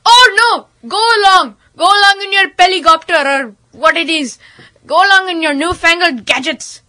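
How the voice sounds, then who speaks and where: A woman's voice: extremely high pitch, moderate pace, bright, forceful tone, no room sound, pitched above the performer's own voice. A woman voicing a devout, simple-minded holy man in an oral story, stubbornly and repeatedly refusing help. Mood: indignant, dismissive. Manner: insistent, repetitive refusals with exclaimed "oh no".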